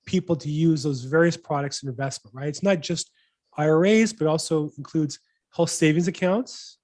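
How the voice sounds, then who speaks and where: A man's voice: on the high side, moderate pace, darkish tone. A man hosting a weekly real estate video call, upbeat and enthusiastic, asking long rambling questions. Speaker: host, real estate broker. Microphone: video call mic.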